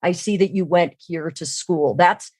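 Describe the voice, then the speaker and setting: A woman's voice: lowish voice, unhurried, darkish tone. Woman guest expert chatting n explaining stuff step by step, stresses key words, dry sound no room echo. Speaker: podcast guest, sales trainer and keynote speaker. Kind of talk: conversational expert interview.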